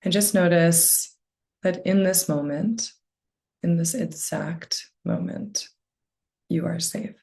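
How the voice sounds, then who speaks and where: Low, slow, creaky-voiced woman guiding meditation. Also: fairly even intonation. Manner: gentle, unhurried phrasing with long pauses. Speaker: meditation guide. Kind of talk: guided meditation, slow soothing narration.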